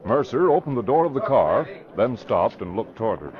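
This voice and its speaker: A man's voice: mid-range voice, very animated, a little fast, natural voice. A man narrating a scripted mystery in urgent 1940s radio style. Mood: dramatic. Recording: dull vintage radio recording with crowd.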